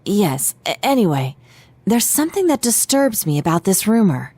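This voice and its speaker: Formal young detective, lively intonation. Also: female voice, medium pitch, normal speed, dry recording. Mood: analytical. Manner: polite, precise, formal diction. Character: composed, formal teenage detective, analytical and earnest. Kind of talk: video game voice acting.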